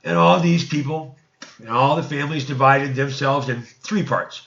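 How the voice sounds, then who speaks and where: A man reading an ancient religious text aloud as a narrator, in a deliberate storytelling manner, stumbling over words now and then. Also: medium pitch, fairly even intonation, creaky, fairly bright tone, lo-fi sound.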